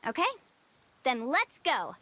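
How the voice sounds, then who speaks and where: A woman's voice: sits high, big pitch swings, takes it slowly, pushed and bright, slight background noise, exaggerated voice. A woman voicing a confident, spirited young female guide in a video game, upbeat and encouraging. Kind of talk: English video game voice acting.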